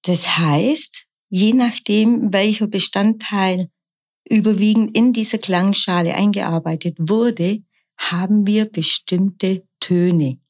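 A middle-aged woman, a nurse, explaining her practice in calm, measured interview answers. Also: medium pitch. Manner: calm, measured, often slow and deliberate. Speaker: nurse and sound bowl practitioner.

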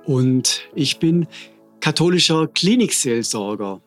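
A man in his fifties, a hospital chaplain, answering interview questions thoughtfully with frequent pauses and hesitations. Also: a little high, expressive, faint music behind. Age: 50s.

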